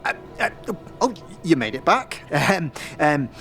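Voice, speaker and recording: A man voicing a jittery, cheerful lowlife scavenger with an excitable stammer, in a fantasy audio drama. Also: unhurried, creaky, soft background music, exaggerated voice. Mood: excited, nervous.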